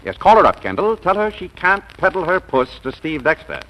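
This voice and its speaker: A man's voice: sits high, big pitch swings, a little fast, fairly bright tone, rough recording. An actor playing a suave, bossy, womanizing businessman in a 1940s radio comedy, breezy and self-assured with mock hesitations. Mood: curt, businesslike.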